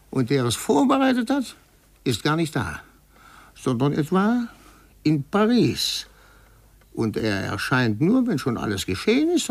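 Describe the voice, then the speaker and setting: Shrewd insinuating adviser, creaky voice, wide swoops, dry close sound, 1950s German radio play. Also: male voice, a little high, no echo, heavily acted. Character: shrewd, insinuating man advising a young heir.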